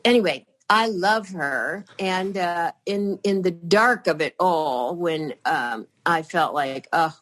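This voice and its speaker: An older woman chatting as a podcast guest, rambling and halting, with many fillers and restarts. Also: fairly low pitch, fairly bright tone. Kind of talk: casual chat.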